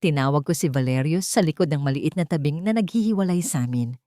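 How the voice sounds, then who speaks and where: A woman's voice: low-pitched, lively intonation, quick pace, dark tone, no room sound, clean studio sound. A woman narrating a scripted first-person story in an earnest, dramatic storytelling manner.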